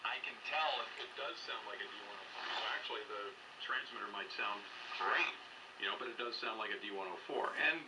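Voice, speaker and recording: Guy on a far off noisy radio, talking ham tech casually, kinda thinking out loud. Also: on the low side, a little fast. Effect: heard over radio transmission. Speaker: amateur radio operator. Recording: distant radio signal with clear noise.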